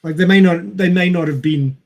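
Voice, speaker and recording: Male podcast co-host, slightly high-pitched, casual and explanatory, for relaxed movie discussion. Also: a little fast, gravelly.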